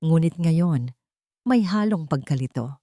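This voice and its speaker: A woman narrating a dramatic romance story in a steady, dramatic scripted style. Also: low voice, a little slow, no echo, studio quality.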